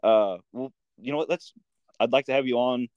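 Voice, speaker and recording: A man's voice: medium pitch, moderate pace, darkish tone, no echo, muffled recording. An American man from the Midwest hosting a podcast in an informal, casual chat full of filler words like "like" and "you know". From Midwestern United States. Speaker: podcast host.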